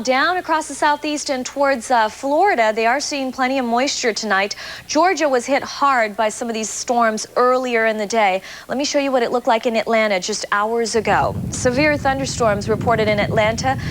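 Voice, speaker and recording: A woman weather anchor giving a live television forecast in an informal, explanatory way. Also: fairly high pitch. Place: television studio.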